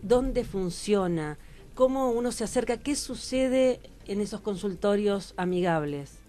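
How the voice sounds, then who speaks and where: A woman's voice: medium pitch, expressive, unhurried, dark tone. A woman with an Argentine accent hosting a radio conversation and putting questions to her guests. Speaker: radio interviewer. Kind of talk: conversational interviewing.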